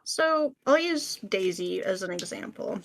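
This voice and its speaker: A woman's voice: mid-range voice, expressive, measured pace, vocal fry, low-quality recording. A woman speaking as an interview guest, explaining things informally and often voicing her own thought process. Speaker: podcast guest, script writer. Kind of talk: casual interview chat.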